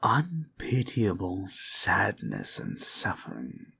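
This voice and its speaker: A man playing a sly, mocking monster demon in an audio roleplay, with a theatrical, drawn-out, taunting delivery. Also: deep voice, huge pitch swings, creaky, sharp tone, breathy edge, exaggerated voice. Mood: savoring, menacing. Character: sly, mocking classic monster demon, male, summoned to grant wishes. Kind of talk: scripted audio roleplay, ASMR.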